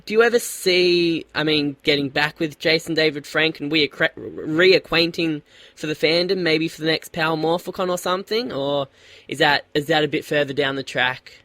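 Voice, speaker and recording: An Australian man hosts an interview, eagerly firing off questions and often stumbling over his words. His voice is higher and bright, and it sounds close and dry. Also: normal speed, no room sound. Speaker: radio host.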